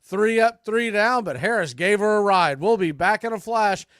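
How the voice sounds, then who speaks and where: Male play-by-play announcer, fan radio broadcast, higher-pitched, dry studio sound. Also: normal speed, smooth, no room sound. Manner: steady calls, rising excitement on big plays.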